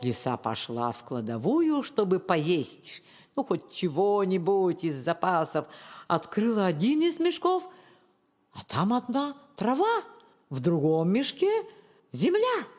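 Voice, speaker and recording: A woman telling a children's fairy tale as an expressive storyteller, recorded with long reverb. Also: lowish voice, takes it slowly.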